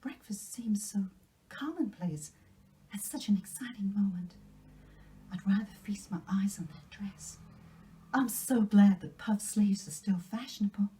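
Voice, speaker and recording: Woman voicing a breathy, high, gushing storybook girl. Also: measured pace, mellow, close to an everyday voice, low-quality recording. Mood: dreamy excitement. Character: excitable, dreamy, effusive young girl in a storybook.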